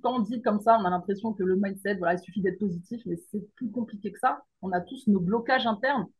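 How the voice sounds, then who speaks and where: A woman's voice: mid-range voice, quick pace, husky, rough recording. A middle-aged woman from the Paris region, a guest coach, talking thoughtfully with many fillers and restarts. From France, Paris region. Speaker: guest, mindset coach and teacher. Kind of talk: remote interview conversation.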